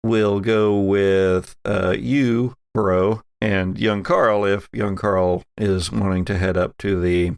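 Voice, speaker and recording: Male American game master for a tabletop game: low, creaky delivery, closely recorded and dry. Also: fairly even intonation, slow pace, few pauses, dry recording. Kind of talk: running a tabletop roleplaying game.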